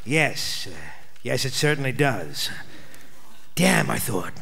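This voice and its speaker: An Australian actor playing a wry, world-weary private detective, narrating a noir parody radio play in a deadpan, declamatory way. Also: male voice, mid-range voice, slow pace, creaky, husky, heavily acted. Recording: live stage radio play broadcast.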